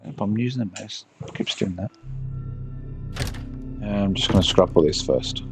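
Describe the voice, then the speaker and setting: A man live-streaming a game, reading the text aloud haltingly and muttering casual asides as he plays. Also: on the low side. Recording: music behind the voice.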